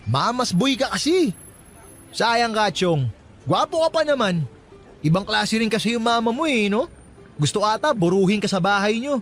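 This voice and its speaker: A man's voice: sits high, animated, normal speed, fairly bright tone. A man with a Filipino accent playing an easygoing young gas station worker who ribs his friends in slangy radio drama talk. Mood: playful ribbing. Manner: slangy, ribbing.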